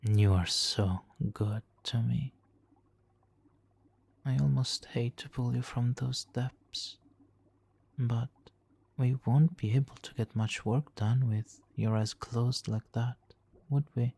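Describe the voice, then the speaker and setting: A man playing a smug, seductive supervillain hypnotist in an ASMR roleplay, speaking softly and theatrically with lapses into whispers. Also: mid-range voice, fairly even intonation, takes it slowly, creaky, husky, heavily acted. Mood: pleased, hypnotic.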